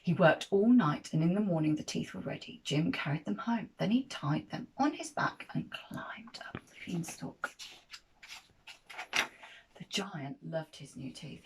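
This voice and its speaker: A woman reading a children's picture book aloud as an expressive storyteller. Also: fairly low pitch, measured pace, noisy background.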